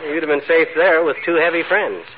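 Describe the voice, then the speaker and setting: An actor as a brash, folksy husband in vintage radio comedy, pitched fairly high. He wisecracks breezily and tactlessly over a tinny, noisy broadcast. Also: fairly quick, lightly acted. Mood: wisecracking. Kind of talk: 1940s radio comedy acting.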